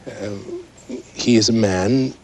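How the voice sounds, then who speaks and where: An actor playing a male psychoanalyst as a news expert, explaining in a measured but hesitant, halting way. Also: fairly low pitch, a little mellow. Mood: thoughtful, concerned. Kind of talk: naturalistic mock news interview.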